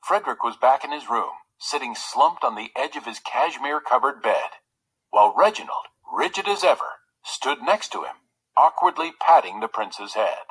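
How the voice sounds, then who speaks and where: A man reading a children's story aloud in an expressive narrating style. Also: mid-range voice, normal speed, husky, poor audio. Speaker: story reader.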